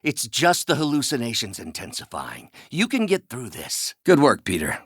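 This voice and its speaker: An older male scientist from a superhero game, a learned mentor with a bitter edge. He speaks articulately and theatrically, his pitch moving widely. The recording is dry and studio-clean. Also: huge pitch swings, moderate pace, husky, dry recording. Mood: earnest. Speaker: voice actor in a superhero video game. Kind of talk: dramatic video game voice acting.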